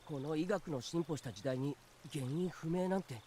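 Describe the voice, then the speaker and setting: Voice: mid-range voice, fast, mellow, slight background noise, close to an everyday voice. An actor playing an earnest, anxious teenage boy in a visual novel, pressing insistently with questions. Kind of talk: Japanese visual novel voice acting.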